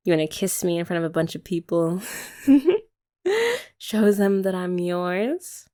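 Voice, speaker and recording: A young woman in an ASMR girlfriend roleplay, talking softly and teasingly to the listener. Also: fairly low pitch, very animated, slow. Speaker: ASMR creator.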